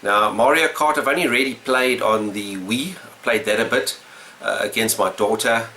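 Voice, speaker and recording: A middle-aged South African man with a South African accent, casually answering gaming questions in a relaxed, rambling way with lots of uhs and ums. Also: lowish voice, moderate pace, slight background noise. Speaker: gaming YouTuber.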